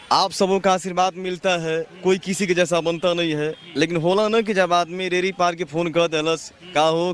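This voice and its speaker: A man's voice: a little high, fairly bright tone. A man with a Bhojpuri accent in casual chat that slips into swaggering, film-style dialogue, full of familiar endearments.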